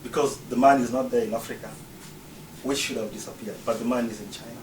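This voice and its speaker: A man from Uganda lays out his argument step by step in a prepared talk. His voice has a middling pitch and is picked up from some way off the mic. The room adds a noticeable echo, and there is a faint hum of ambience behind him. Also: fairly even intonation, quick pace, recorded from a distance. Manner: explanatory, lists points one by one. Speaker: speaker.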